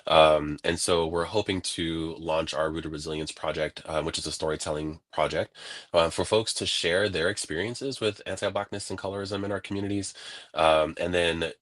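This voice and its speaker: A man from California, a guest on a video-call interview, telling stories in a casual, animated way and acting out what people said. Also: deep voice, moderate pace. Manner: animated, laughing, acts out quoted voices.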